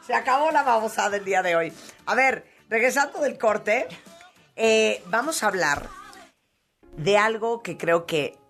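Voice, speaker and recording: A woman's voice: mid-range voice, animated, a bit bright, soft background music. A Mexican woman hosting a talk show, reading listener messages aloud and teasing her co-hosts in casual banter. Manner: teasing, quick to laugh. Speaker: radio show host.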